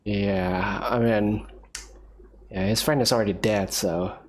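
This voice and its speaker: A woman's voice: a bass voice, fairly even intonation, slow, a little mellow. A woman hosting a gaming video, giving casual commentary and reading dialogue along.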